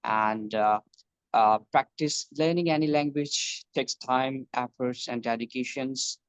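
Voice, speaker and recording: A man's voice: mid-range voice, a little slow, clear, resonant tone, no room sound. A young man from Pakistan, a computer science student, speaking English as a learner in a casual practice conversation, hesitant with many fillers and restarts. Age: young adult.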